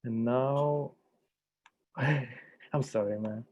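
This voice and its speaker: A man's voice: mid-range voice, drawn-out words, darkish tone. A man chatting casually as he coordinates an online session, in a hesitant, tentative way that checks with others. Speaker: workshop host and online meeting organiser. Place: online video call. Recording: dull, muffled video call audio.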